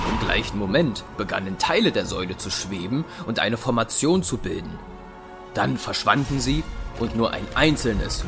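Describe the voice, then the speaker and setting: Casting: male narrator, lower-pitched, scripted dramatic storytelling for sci-fi action audio drama, prominent music bed. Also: gravelly.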